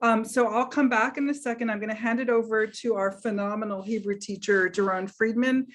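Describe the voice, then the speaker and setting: A woman's voice: on the high side, fairly even intonation, a little fast. Upbeat woman welcoming students on a video call. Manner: enthusiastic, upbeat.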